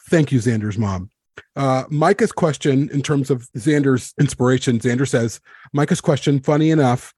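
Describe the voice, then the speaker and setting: A man's voice: mid-range voice, a little mellow, no echo, clean studio sound. A man hosting a podcast roundtable, talking and reading out written replies. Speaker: podcast host.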